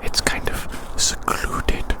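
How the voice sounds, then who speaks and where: An ASMR creator whispering a playful, teasing roleplay ramble, lingering over repeated phrases. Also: takes it slowly. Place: outdoors in winter woods.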